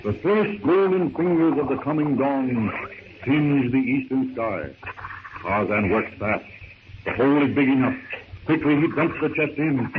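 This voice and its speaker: A man's voice: medium pitch, phone-quality sound, lightly acted. A man narrating a 1930s radio adventure serial in a theatrical, suspenseful, declamatory manner. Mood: hushed suspense. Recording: thin, noisy 1930s radio recording.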